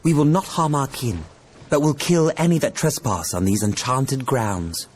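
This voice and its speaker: A man's voice: medium pitch, a little slow, a little hiss or hum, natural voice. A man playing a stern, ancient elven guardian in a solemn fantasy game style. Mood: stern warning.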